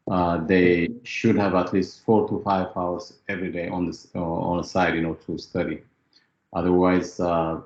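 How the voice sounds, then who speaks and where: A man's voice: sits low, gravelly, darkish tone, echoey room, muffled recording. A man from Ethiopia, a former student giving a testimonial interview, talking hesitantly with many fillers.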